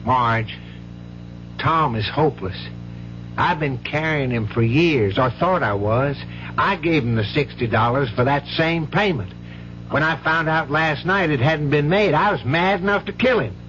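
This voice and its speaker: Frustrated, fed-up man played by a male actor, higher-pitched, 1970s radio drama, dull noisy broadcast. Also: a little slow, natural voice. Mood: weary, exasperated. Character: frustrated man who has run out of patience with a gambler.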